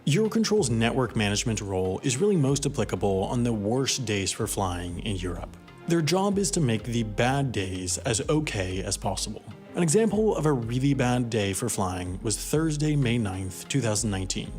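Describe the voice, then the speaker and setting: Man narrating a lively explainer over music. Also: medium pitch, normal speed. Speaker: documentary narrator.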